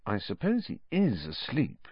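A man voicing an excitable, cheeky, blunt schoolboy with a spirited, offhand manner in a storybook. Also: medium pitch, moderate pace, slightly rough, dry recording, dull audio, voice pushed up. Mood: awkward.

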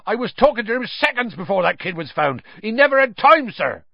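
A man's voice: sits high, sing-song, fairly quick, creaky, no echo, lo-fi sound, pitched above the performer's own voice, full character voice. A man voicing a big, shaggy, loyal man in an audiobook, blurting and ranting urgently.

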